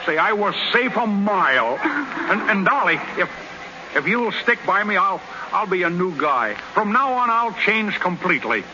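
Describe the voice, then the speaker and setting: A scratchy, muffled old radio recording carries an excitable young American baseball player. His voice is very high and swings about a lot. He talks in boastful, folksy slang, as in a 1930s radio comedy. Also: moderate pace. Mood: tender, earnest. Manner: folksy, slangy, boastful, sheepish when praised.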